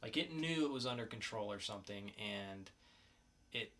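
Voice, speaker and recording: A man's voice: lowish voice, lively intonation, moderate pace, fairly bright tone. A man co-hosting a podcast, casually reviewing comic books in a conversational way with emphatic announcements. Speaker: podcast co-host.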